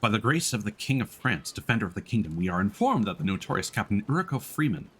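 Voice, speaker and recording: A man's voice: fairly low pitch, sing-song, quick pace, breathy edge. A man streaming a video game, giving casual live commentary as he plays.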